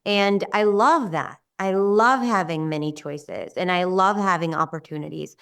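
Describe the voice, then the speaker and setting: A middle-aged Israeli woman whose first language is Hebrew, talking as an interview guest in a warm, rambling way with many restarts. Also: on the low side, a little slow, gravelly, smooth, distant mic. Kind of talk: casual interview talk.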